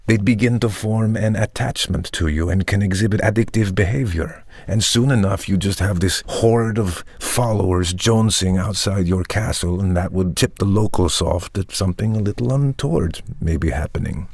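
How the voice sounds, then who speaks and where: Gravelly, persuasive vampire tech visionary. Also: male voice, deep voice, moderate pace, hardly any pauses, darkish tone, no echo. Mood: smug, instructive. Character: charismatic, ambitious vampire tech entrepreneur, smooth visionary salesman. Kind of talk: dramatic monologue, polished pitch.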